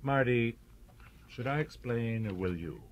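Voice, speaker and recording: An actor playing a mild, sly elderly brother in a radio drama, husky and breathy, with a hesitant, wry, measured delivery. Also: male voice, mid-range voice, lively intonation, close to an everyday voice. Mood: quietly satisfied. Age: older.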